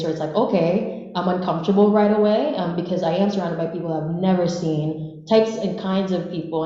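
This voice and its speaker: A young woman from the Philippines, whose first language is Bisaya, shares her story in a casual way. Her voice is relaxed and on the low side, heard through heavy room echo. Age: 20s. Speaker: panelist, dancer. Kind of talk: casual personal storytelling at a panel. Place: large echoey room at a live panel event. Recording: very echoey hall, slightly muffled.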